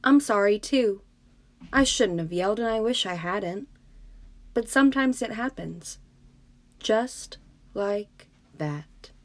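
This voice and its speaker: A woman reading a storybook for children, voicing a patient but exasperated mother who scolds gently in measured words. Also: mid-range voice, animated, dry recording. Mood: apologetic. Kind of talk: storybook read-aloud for children.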